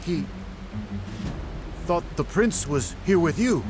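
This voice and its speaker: An actor voicing a fish-folk soldier bringing urgent news in a video game cutscene. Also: male voice, fairly high pitch, lively intonation, takes it slowly, heavy background noise. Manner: urgent, reporting. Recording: game score underneath.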